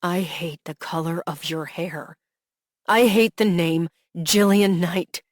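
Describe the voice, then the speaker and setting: A woman's voice: fairly low pitch, fairly even intonation, unhurried, slightly rough, no echo, clean studio sound. A woman voicing a heartbroken young man who pours out jealous, desperate love, her delivery raw and pleading. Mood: anguished. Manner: raw, pleading, rising to anguished outbursts.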